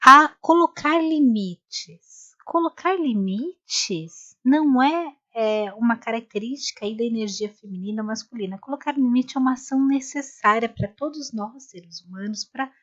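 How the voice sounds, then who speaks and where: A woman's voice: a little high, normal speed, a bit bright, muffled recording. A woman co-hosting a live conversation, telling a story in a rambling way with many restarts. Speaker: co-host of a live talk. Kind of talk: casual chat.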